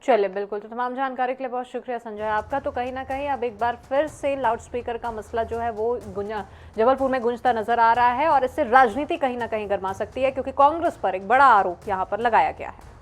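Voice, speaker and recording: Female news anchor, slightly high voice, live bulletin presenting style. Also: brisk, faint music behind.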